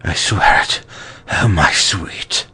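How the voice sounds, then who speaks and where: A man's voice: slow pace, bright, forceful tone, faint music behind. A man playing an earnest, lovesick lover, ardent and melodramatic, in an audiobook verse drama. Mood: rapturous.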